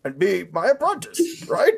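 A man voicing an eccentric, overbearing older mentor with a gravelly voice, rambling and repetitive, in an improvised comedic cartoon fan dub. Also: high voice, huge pitch swings, normal speed, exaggerated voice. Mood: manic, coaxing. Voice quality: heavily creaky, gravelly.

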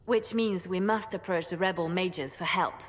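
An actress playing a poised female ambassador who speaks in a gracious, measured, formal way. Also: on the low side, in a large hall, natural voice. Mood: composed. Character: poised female court ambassador, polite and diplomatic.

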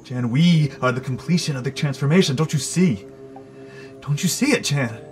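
A man's voice: a little high, normal speed, soft background music, natural voice. A man playing a nervous, lovesick lab assistant in an audio drama, hesitant and fervent, with a tendency to stammer.

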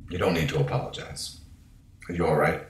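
A blunt starship crew member , acted out in a sci-fi audio drama, with a very low voice and stoic, formal delivery. The sound is echoey, with some ambience behind it. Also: steady tone, a little hiss or hum. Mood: stern, calm. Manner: measured, matter-of-fact, formal. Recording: roomy echo, background ambience.

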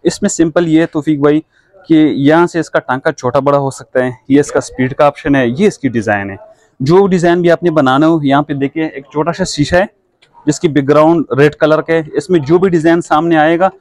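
A man's voice: a little high, brisk, a little mellow, dry recording. A man with a Pakistani accent who runs a sewing machine shop, patiently explaining machines step by step in a casual demonstration. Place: shop full of machines, indoors.

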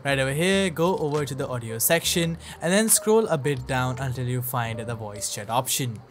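Man narrating a software tutorial, music behind. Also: mid-range voice, moderate pace. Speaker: software reviewer.

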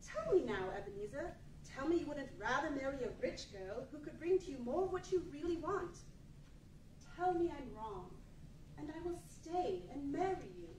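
An actress playing a sorrowful, principled young fiancée in a radio play, speaking earnestly and deliberately. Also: female voice, high voice, expressive, darkish tone, slight background noise. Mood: challenging. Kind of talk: 1940s live radio play acting. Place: large meeting room with seated audience, far camera. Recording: echoey hall, distant microphone.

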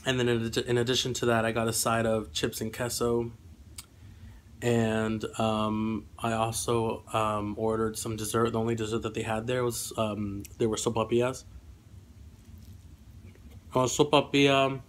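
Young male vlogger, average pitch, little inflection, mildly congested, hesitant off-the-cuff chat. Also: flat delivery, moderate pace, slight background noise. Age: young adult. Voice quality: slightly congested. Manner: hesitant, rambling. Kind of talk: casual eating vlog chat. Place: small room indoors at home.

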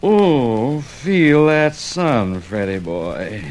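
Smug, swooping villain. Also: male voice, mid-range voice, lively intonation, darkish tone. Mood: languid. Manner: drawn-out, oily, condescending. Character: languid, mocking male schemer, smug villain. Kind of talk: 1950s radio drama acting. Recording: noisy vintage 1950s radio recording.

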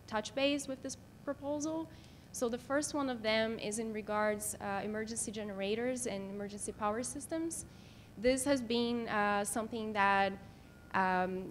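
Woman presenting over noticeable background noise. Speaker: city planner presenting. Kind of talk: formal slide presentation at a public meeting. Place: meeting room in a civic building. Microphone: podium microphone. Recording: clear background noise with low rumble.

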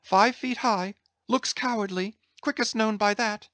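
A woman's voice: mid-range voice, measured pace, a bit bright, no room sound, close to an everyday voice. Woman playing a crazed, singsong young cabin boy. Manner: singsong, wandering, archaic. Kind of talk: dramatised classic sea novel reading.

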